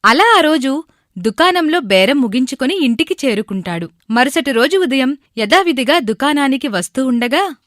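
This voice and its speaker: High-voiced dry studio female narrator. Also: expressive, normal speed, fairly bright tone, no room sound. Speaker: story narrator. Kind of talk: scripted moral-story narration.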